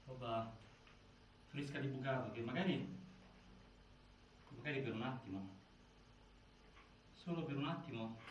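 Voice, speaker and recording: A man giving dramatic scripted narration, deliberate and full of pauses, recorded from a distance in a very reverberant space. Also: medium pitch, muffled recording. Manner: deliberate, with pauses and repeated phrases. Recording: very echoey stage, distant mic, faint noise.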